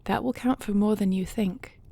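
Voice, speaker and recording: Woman acting a composed dragon queen with a creaky edge. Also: medium pitch, dark tone. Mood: reassuring, resolute. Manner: formal, measured, earnest speech. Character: dragon queen, devoted wife, composed, dutiful and resolute. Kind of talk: audio roleplay acting.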